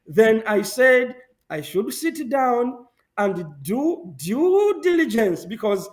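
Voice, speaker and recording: Interview guest from Zambia, male, young adult, high voice, lively and emphatic delivery. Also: slow. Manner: animated, laughs often, emphatic repetitions. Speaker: guest, public health professional. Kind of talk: conversational interview answers.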